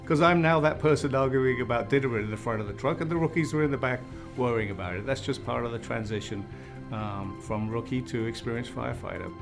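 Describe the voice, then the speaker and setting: A middle-aged man from Wales with a slight Welsh accent, a fire chief speaking in a measured, reflective way in an interview. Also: medium pitch, gravelly, loud music behind the voice. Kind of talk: interview talk.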